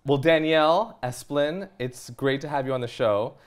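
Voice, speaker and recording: A man's voice: a little high, moderate pace, very noisy. Casual, rambling man hosting. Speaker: podcast host, TV producer. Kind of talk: casual conversational interview. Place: small furnished indoor set with curtains.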